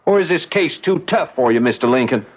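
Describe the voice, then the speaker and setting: A man's voice: medium pitch, lively intonation, brisk, natural voice. An actor playing a stubborn, hot-headed young man with a rural American accent in a radio drama, folksy and plain-spoken. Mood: indignant. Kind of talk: old-time radio drama acting. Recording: dull vintage radio drama sound.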